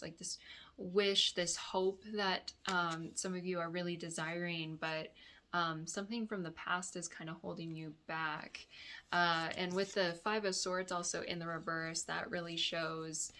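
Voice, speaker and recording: A woman with a raspy, dry-throated voice giving a weekly tarot forecast, offering reflective, meandering guidance to her viewers. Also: lowish voice, fairly bright tone. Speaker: tarot reader and yoga therapist.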